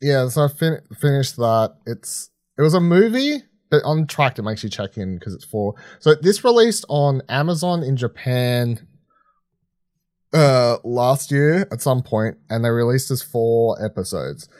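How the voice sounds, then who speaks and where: A man's voice: mid-range voice, very animated, a little slow, a little mellow, dry recording, clean studio sound. A man co-hosting a podcast, giving a casual, rambling review full of filler phrases like "sort of". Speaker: podcast co-host reviewing films.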